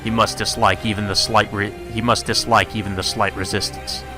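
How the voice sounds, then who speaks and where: A man's voice: fairly low pitch, level tone, brisk, music in the background. A man reading a visual novel aloud as narration, in the manner of a let's play host.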